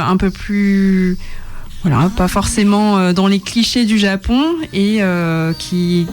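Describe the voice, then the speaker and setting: A woman festival organizer who grew up in France, guesting on a radio show. She answers in a hesitant way, fills her pauses with fillers and lets her sentences trail off at the end. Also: mid-range voice, darkish tone. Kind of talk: radio interview.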